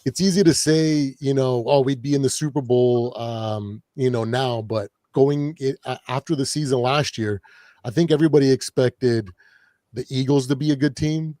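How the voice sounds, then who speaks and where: A man with a raspy voice, worn from partying, chatting as a podcast guest in a rambling way with lots of fillers and restarts. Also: mid-range voice, normal speed, mellow, no room sound, clean studio sound. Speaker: guest, fan channel creator. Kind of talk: casual podcast chat.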